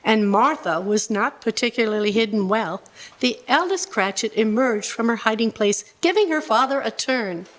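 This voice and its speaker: A woman's voice: fairly high pitch, lively intonation, normal speed, close to an everyday voice. A woman playing a narrator of a Victorian family tale, reading scripted narration in a live radio play. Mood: wry.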